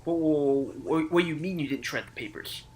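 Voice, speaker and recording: A man's voice: a little high, fairly quick, a little mellow, close to an everyday voice. A man voicing a theatrical, ominous narrator in a comedy sketch. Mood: flustered. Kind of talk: parody narration.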